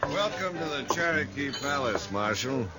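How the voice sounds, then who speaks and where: A smug, sneering saloon owner with a smooth, mid-pitched voice and a mocking manner, as a man acts him out. Dramatic music swells behind him in this old radio play. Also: animated, moderate pace, natural voice. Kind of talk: 1950s radio drama acting.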